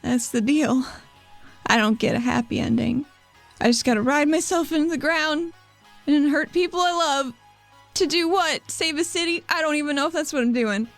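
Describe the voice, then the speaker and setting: Woman playing a high-voiced, guilt-ridden heroine. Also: moderate pace, faint music behind. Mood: despairing, pleading. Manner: stammering, emotional. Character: guilt-ridden woman, anguished, self-blaming and pleading.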